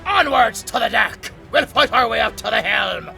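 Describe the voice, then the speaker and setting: An actor playing a bold, boisterous old pirate captain, theatrical and commanding, in a cinematic audio adventure. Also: male voice, sits high, lively intonation, normal speed, creaky, music in the background, full character voice. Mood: rousing, exultant. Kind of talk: cinematic audio roleplay acting.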